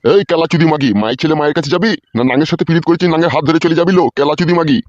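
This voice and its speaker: A man's voice: on the high side, rapid-fire, dry recording, lo-fi sound, natural voice. A man voicing a suspicious, hot-tempered old village husband in a comedy cartoon, ranting coarsely. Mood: accusing.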